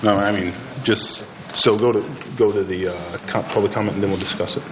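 A man's voice: lowish voice, fairly even intonation, a little fast, crowd noise. Man questioning at a meeting, muffled audio. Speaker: board member asking questions. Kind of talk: informal questioning at a public meeting. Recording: muffled, lightly noisy meeting recording.

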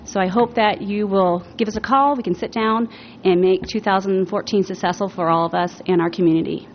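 A woman's voice: medium pitch, fairly even intonation, a little fast. Earnest woman giving public comment. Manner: earnest, with frequent ums. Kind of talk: formal public comment at a meeting. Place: public meeting room. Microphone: podium microphone. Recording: muffled meeting audio with faint noise.

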